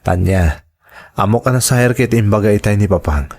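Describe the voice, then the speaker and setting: A man's voice: lowish voice, a little fast, darkish tone. An actor playing a reassuring, conciliatory young husband who defers to his father, in a radio-style drama.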